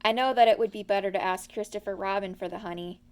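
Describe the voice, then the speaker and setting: A woman voicing a gentle, earnest, honey-loving storybook bear who frets mildly. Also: mid-range voice, fairly even intonation, quick pace, clear, resonant tone, close to an everyday voice. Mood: glum, earnest.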